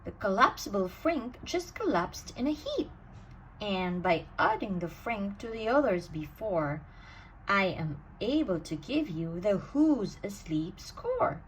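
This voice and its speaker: A woman reading a rhyming bedtime storybook aloud in an expressive, sing-song way. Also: mid-range voice, slow pace, a little hiss or hum, low-quality recording. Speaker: storybook reader.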